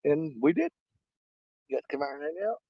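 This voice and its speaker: A man hosting a fishing live stream outdoors at a small lake, hoarse and losing his voice, rambling in a folksy way straight to his viewers. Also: on the high side, animated, fairly quick, no echo, highs cut off. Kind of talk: casual live-stream chat.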